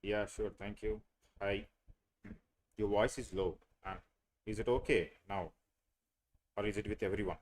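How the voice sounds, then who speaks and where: A man's voice: on the low side, steady tone, unhurried, long pauses. A young man, teaching a live online class on a video call, hesitant with many fillers and restarts. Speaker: online course instructor.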